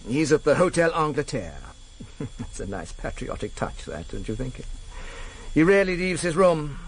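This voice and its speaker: A man's voice: a little high, sing-song, moderate pace, slightly rough, heavily acted, rough recording. A man acting in a radio drama as a pedantic, coolly menacing senior intelligence official, with a fussy, precise, insinuating manner. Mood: smooth, cold.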